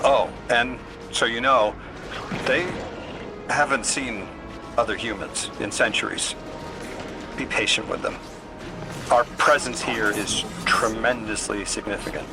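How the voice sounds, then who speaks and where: A male actor playing an earnest, bookish amnesiac translator in a video game, halting and apologetic. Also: medium pitch, steady tone, natural voice. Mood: earnest, explaining. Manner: halting, apologetic, repeats words when flustered. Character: earnest, flustered, bookish amnesiac man from Earth acting as translator. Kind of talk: naturalistic video game voice acting. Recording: music behind the voice.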